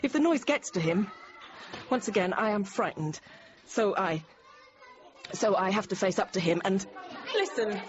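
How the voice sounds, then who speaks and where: An actress in her thirties playing a frightened but composed French teacher held hostage, narrating quietly, often near a whisper. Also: mid-range voice, lively intonation, unhurried, a crowd in the background, lightly acted. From France. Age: 30s. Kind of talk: first-person dramatic narration. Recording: dull noisy radio drama recording.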